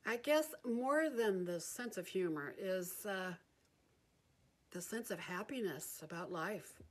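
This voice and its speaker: A woman's voice: mid-range voice, lively intonation, slow. A woman speaking in a reflective personal narrative.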